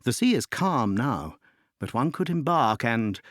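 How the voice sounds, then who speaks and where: A man reading scripted narration that introduces an opera, in an expressive, literary storytelling manner. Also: mid-range voice, unhurried, dry recording, clean studio sound.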